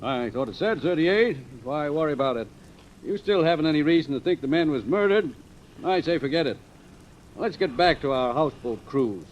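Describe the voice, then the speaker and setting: Animated, skeptical man in a radio role. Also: fairly high pitch, normal speed, close to an everyday voice. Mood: exasperated. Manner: dismissive, reasoning. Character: skeptical, exasperated companion of a sleuth. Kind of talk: 1940s radio acting. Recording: muffled, noisy old radio recording.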